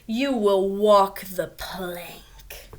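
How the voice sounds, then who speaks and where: A woman's voice: mid-range voice, drawn-out words, darkish tone, pitched below the performer's own voice. Lady doing angry storybook pirate captain guy, vengeful, demanding, threatening. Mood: menacing.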